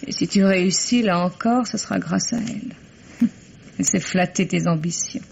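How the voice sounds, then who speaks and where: A weary French wife, creaky voice. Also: female voice, lowish voice, normal speed, a little mellow. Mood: bitter. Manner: plaintive, sighing, reproachful. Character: weary, bitter, jealous middle-aged wife. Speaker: radio drama actor. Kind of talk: 1950s French radio drama acting. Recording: dull, noisy old radio recording.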